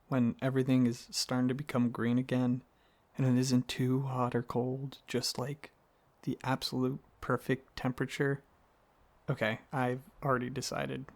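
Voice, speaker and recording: A man playing an affectionate, excitable dragon boyfriend in an ASMR roleplay, talking casually and a little haltingly, with a gravelly voice. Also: mid-range voice. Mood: affectionate, relaxed. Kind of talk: ASMR roleplay, softly addressing the listener as partner.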